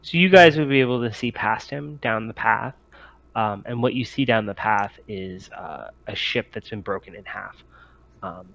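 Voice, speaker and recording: A man with a fairly low voice acts as game master. He explains scenes and rules off the cuff, pausing as he builds each description. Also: vocal fry, a bit bright, poor audio. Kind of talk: improvised tabletop game narration.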